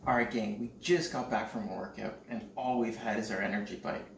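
A man in his thirties hosting a playful how-to cooking vlog at home with mock-serious, deadpan humour. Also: mid-range voice, slight background noise. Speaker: cooking show host. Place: home kitchen and dining room.

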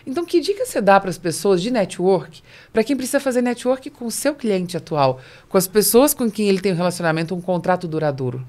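A woman's voice: medium pitch, expressive, brisk, few pauses, dark tone. A Brazilian woman interviewing guests conversationally as a podcast host, speaking into a close studio microphone. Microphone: close studio microphone on boom arm.

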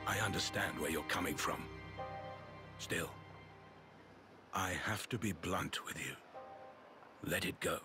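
An actor playing an older military commander in a video game, grave, blunt and weary. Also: male voice, deep voice, takes it slowly, pauses often. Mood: resigned, blunt. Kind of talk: acted video game cutscene dialogue. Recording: music behind the voice.

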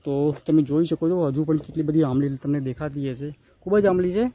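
A man's voice: fairly high pitch, quick pace, dull audio. Young Gujarati man vlogging from his rooftop. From Gujarat, India. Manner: narrates what he films, repeating phrases often. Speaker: village vlogger. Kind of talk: casual walk-and-talk vlog. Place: outdoors on a rooftop in a village. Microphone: handheld phone camera. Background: birds calling.

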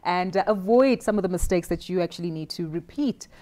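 A woman's voice: on the low side, a little fast, warm tone. A woman hosting a studio roundtable, posing long structured questions to the panel. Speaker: host. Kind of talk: moderating a panel discussion. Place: dark studio set at a round table.

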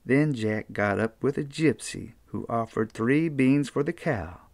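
Creaky older Appalachian man telling stories. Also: medium pitch, measured pace, a little mellow, no room sound. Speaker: storyteller reading a children's book. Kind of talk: expressive folksy storybook reading. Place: small book-lined room indoors.

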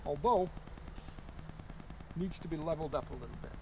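Older male outdoor vlogger, slightly high voice, reflective and casual, repeats words for emphasis, faint ambient noise. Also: a little fast. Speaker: landscape photographer, vlogger. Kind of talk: casual outdoor vlog, musing to camera. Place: outdoors in woods and open field.

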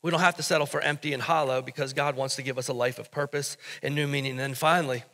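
A man's voice: medium pitch, steady tone, quick pace, bright, forceful tone. An American man in his thirties preaching a sermon as pastor, emphatic yet conversational, repeating phrases to drive them home. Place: stage of a church auditorium, indoors. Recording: reverberant hall sound.

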